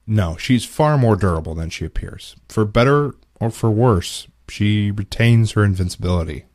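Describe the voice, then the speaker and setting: A stern head nurse in an audiobook story, acted out by a woman, with a very low voice and a close, dry sound. The delivery is formal and procedural, strictly by the book. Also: moderate pace, darkish tone, no room sound. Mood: factual.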